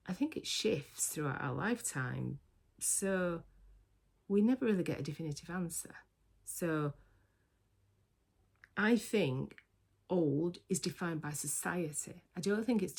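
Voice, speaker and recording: Gravelly-voiced woman in her sixties. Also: low-pitched, darkish tone. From United Kingdom. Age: 60s. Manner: chatty, reflective, with filler words and restarts. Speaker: vlogger. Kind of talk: casual chat to camera.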